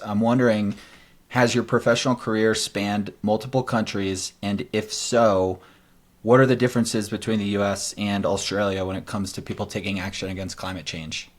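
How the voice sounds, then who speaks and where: Low-voiced, curious male co-host. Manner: curious, measured questioning. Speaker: podcast co-host. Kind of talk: podcast interview questions.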